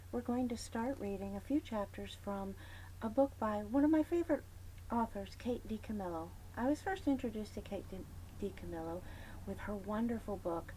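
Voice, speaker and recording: A woman librarian reading a children's chapter book aloud, expressive, dropping to whispers and adding chatty asides. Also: mid-range voice, slight background noise, rough recording. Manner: friendly chatty commentary between passages.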